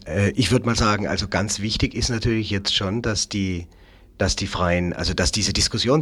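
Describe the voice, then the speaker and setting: A man speaking as a political guest in an unscripted discussion, haltingly searching for words and repeating phrases. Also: deep voice, breathy edge. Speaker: interview guest, Green local politician.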